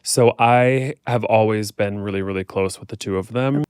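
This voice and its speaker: American male interview guest, casual and conversational, lowish smooth voice. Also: normal speed, darkish tone, dry recording, clean studio sound. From Kansas City, American Midwest. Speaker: podcast guest, performer and fitness instructor.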